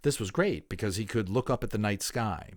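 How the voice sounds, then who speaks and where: Man, lowish voice, very varied melody, dramatic audiobook narration, expressive, emphatic, informal. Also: a little fast. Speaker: audiobook reader. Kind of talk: dramatic storybook narration with casual asides.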